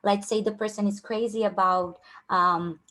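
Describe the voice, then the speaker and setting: A woman's voice: mid-range voice, steady tone, normal speed, bright, forceful tone. A young woman with a strong Brazilian Portuguese accent giving a casual online workshop on job interviews, with many fillers and restarts. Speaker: career coach.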